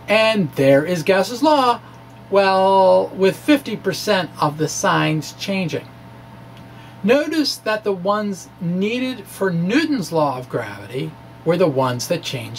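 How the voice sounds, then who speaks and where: Casting: science presenter, mid-pitched voice, explanatory and conversational reading, slightly noisy recording with a hum. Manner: conversational, explanatory, casual asides. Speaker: amateur physicist presenting. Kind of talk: dramatic reading of a science talk.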